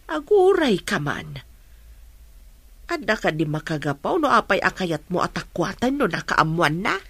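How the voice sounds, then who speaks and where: An actress playing a questioning mother of a grown daughter in a radio drama, with a husky voice. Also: lowish voice, very animated, a little slow, a bit bright, dry recording, exaggerated voice.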